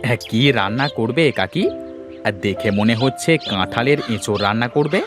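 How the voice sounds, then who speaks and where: A man's voice: medium pitch, lively intonation, moderate pace, music in the background, close to an everyday voice. A man playing a genial, chatty middle-aged village father or neighbour in a cartoon. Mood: curious, pleased. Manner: chatty, questioning. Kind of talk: animated cartoon story acting.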